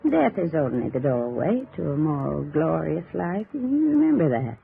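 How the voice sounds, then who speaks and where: An actress playing a dying old aunt in a 1950s radio drama, folksy, chatty and chiding. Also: female voice, low-pitched, big pitch swings, unhurried, gravelly, slightly rough, exaggerated voice. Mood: matter-of-fact. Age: older. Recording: tinny old radio recording with music.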